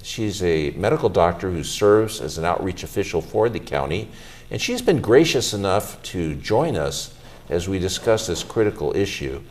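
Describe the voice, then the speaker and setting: A middle-aged man, a physician, hosting a health show, presenting in a measured, formal way and interviewing a guest. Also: deep voice, big pitch swings, darkish tone.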